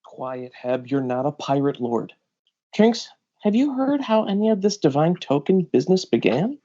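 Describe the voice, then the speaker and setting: A firm, urgent bounty hunter, read by a man, keeping the others in line. The pitch moves a lot, and the recording is a little muffled. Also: very animated, normal speed, dark tone. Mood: commanding. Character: level-headed, commanding bounty-hunter companion.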